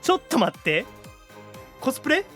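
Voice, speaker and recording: A man with a Kansai Japanese accent hosting a podcast, bantering playfully with animated comic retorts between segment announcements. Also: very high-pitched, moderate pace, soft background music. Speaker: podcast host, voice actor.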